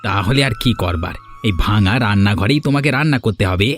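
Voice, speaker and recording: A man's voice: on the low side, animated, fairly quick, faint music behind, lightly acted. A man voicing a matter-of-fact village man in a cartoon fairy tale, blunt and chiding as he advises. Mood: blunt, advising.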